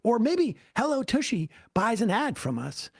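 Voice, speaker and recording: Sarcastic host with a lively voice. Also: medium pitch, breathy edge, no room sound, studio quality. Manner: interrupts to pause the clip, sarcastic. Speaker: political commentary host. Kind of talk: reacting to a played clip with commentary.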